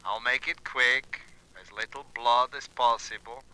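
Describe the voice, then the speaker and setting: An actor playing a casual, cold-blooded hired killer in a 1940s radio drama, talking offhand and tagging sentences with "huh". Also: male voice, medium pitch, steady tone, unhurried, bright, forceful tone, clean tone, rough recording. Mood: cold, reassuring. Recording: old 1940s radio broadcast recording.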